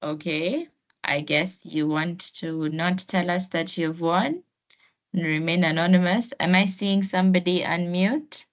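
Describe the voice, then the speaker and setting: Woman running an online workshop, lowish voice, takes it slow, keeps inviting people to join in. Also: fairly even intonation. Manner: encouraging, inviting participation. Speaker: host and trainer of an online masterclass. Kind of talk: informal webinar presenting to participants. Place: online video call.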